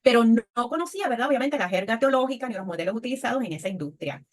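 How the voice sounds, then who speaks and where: A woman's voice: a little high, expressive, quick pace. An older woman with a Puerto Rican accent gives a reflective personal testimony on a panel, often checking in with short tag questions. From Puerto Rico. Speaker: panelist, women's leadership speaker.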